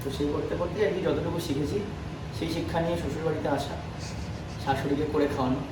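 A man's voice: fairly high pitch, fairly even intonation, quick pace. A young man chatting playfully and casually as a vlogger. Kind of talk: casual vlog chat. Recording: roomy, off-mic, clear ambient noise.

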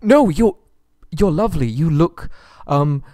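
A man's voice: on the high side, lively intonation, a little slow, mellow, no echo, clean studio sound. A man playing a nervous, submissive, polite young prince held captive, stammering and pleading in an ASMR roleplay audio drama. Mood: flustered, placating.

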